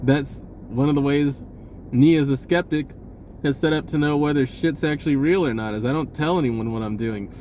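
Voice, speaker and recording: An American man with a somewhat high voice talks over clear background noise. He shares self-help stories in a loose, unscripted way, full of slang, and he wanders and cuts into his own sentences. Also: normal speed, a little mellow. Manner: rambling, slangy, self-interrupting. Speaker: vlogger sharing spiritual self-help advice. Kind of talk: casual unscripted personal storytelling.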